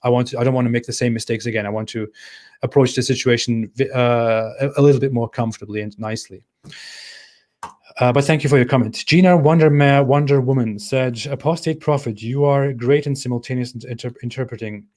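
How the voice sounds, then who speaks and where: A man hosting a casual livestream Q&A, thinking aloud in a halting way as he reads out viewer comments and answers them. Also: mid-range voice, steady tone, darkish tone. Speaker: channel host answering live chat.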